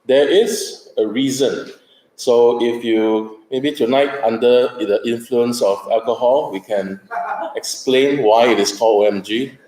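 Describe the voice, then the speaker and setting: A man's voice: medium pitch, fairly even intonation, a little slow, mellow, a little hiss or hum. A man from Singapore gives a conference presentation, hesitant and full of ums, with light jokes. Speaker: conference speaker. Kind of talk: presentation talk. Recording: roomy hall echo.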